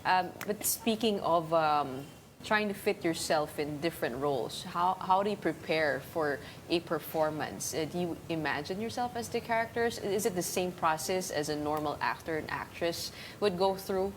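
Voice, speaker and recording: Filipino woman broadcaster, low-pitched, inquisitive, noisy set. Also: lively intonation, normal speed. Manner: curious, asks follow-up questions. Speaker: TV morning show host. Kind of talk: talk show interview. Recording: noisy studio recording.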